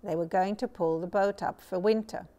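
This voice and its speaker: A middle-aged woman reading a children's story aloud as a storyteller, measured and expressive. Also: mid-range voice. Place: small furnished room indoors. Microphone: lapel mic.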